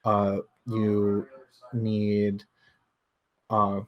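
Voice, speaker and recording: A man's voice: lowish voice, monotone, drawn-out words, muffled recording. Man talking casually, thinking as he goes. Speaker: book discussion host. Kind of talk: casual book discussion on a livestream.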